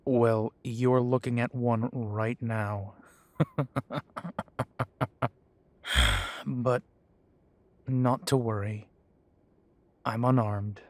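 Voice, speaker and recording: A man's voice: mid-range voice, fairly even intonation, very slow, pauses often, a little mellow, no room sound. A man playing a sardonic half-breed werewolf with a dry, sarcastic way of talking. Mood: wry.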